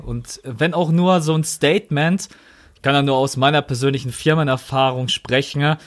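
A man in a casual podcast discussion, speaking frankly and explaining step by step. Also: mid-range voice.

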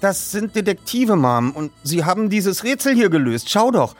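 A man voicing an eager, worried young boy who pleads excitably in an audiobook reading. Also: high voice, normal speed, soft background music, voice pushed up. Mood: eager, hopeful.

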